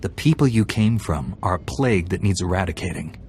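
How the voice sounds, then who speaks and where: Low-voiced ruthless warrior hero. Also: male voice, animated, fairly quick, darkish tone, a little hiss or hum. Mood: righteous, cold. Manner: firm, commanding, hard. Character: ruthless, self-righteous Jedi warrior, commanding young man. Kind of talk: video game hero acting. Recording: game music under the voice.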